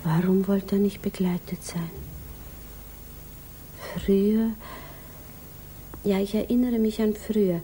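Yearning, dark-voiced young widow played by an actress. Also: female voice, mid-range voice, fairly even intonation, takes it slowly, long pauses, lightly acted, poor audio. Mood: wistful, musing. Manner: hesitant, musing inner monologue. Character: anxious, yearning provincial young widow in love. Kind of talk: 1950s German radio drama acting. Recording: hissy 1950s radio recording.

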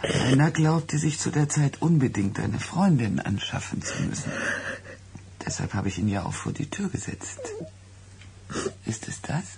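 An actress playing a worldly, soothing middle-aged friend in a German radio drama, coaxing and knowing. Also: female voice, very deep voice, lively intonation, a little slow, vocal fry, a little mellow, a little hiss or hum, heavily acted.

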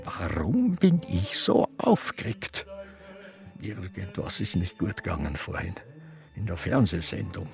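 Halting, creaky old Austrian man. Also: low voice, huge pitch swings, music in the background, heavily acted. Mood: wistful, confused. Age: elderly. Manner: halting, ruminative. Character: confused, reminiscing old Viennese man. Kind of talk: radio drama monologue.

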